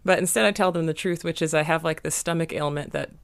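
A young woman with a hoarse, gravelly voice, pitched a little low. She chats on a podcast in a halting way, with lots of ums and a dry, self-mocking wit. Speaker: podcast co-host. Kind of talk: casual podcast chat.

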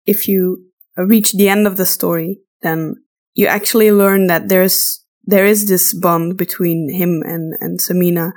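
A woman's voice: mid-range voice, darkish tone. A woman speaking casually and unscripted as a podcast co-host. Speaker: podcast co-host reading listener questions.

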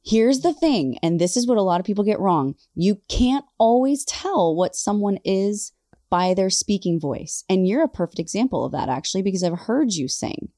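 A woman host and vocal coach chatting casually on a podcast, bubbly and animated, laughing often. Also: medium pitch, normal speed, no room sound, studio quality. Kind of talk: casual chat.

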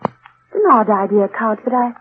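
An actress playing a troubled, searching aristocratic countess with intense, theatrical old radio delivery. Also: female voice, mid-range voice, moderate pace, close to an everyday voice. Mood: wistful, puzzled. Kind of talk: 1940s radio acting. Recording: tinny, hissy old radio recording.

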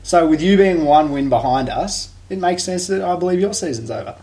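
A man's voice: a little high, lively intonation, brisk, a little mellow. A man co-hosting a casual sports podcast, cocky and teasing. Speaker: podcast co-host.

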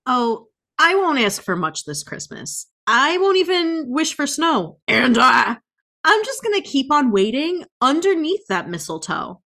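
A woman's voice: sits high, fairly bright tone. A woman co-hosting a podcast, giving playful, theatrical readings in an exaggerated, melodramatic way. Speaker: podcast co-host. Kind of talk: playful, theatrical dramatic reading of song lyrics.

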